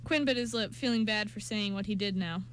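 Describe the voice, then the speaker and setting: A woman's voice: medium pitch, fairly quick, slight background noise. A woman reading scripted narration for an amateur radio play, occasionally stumbling over words.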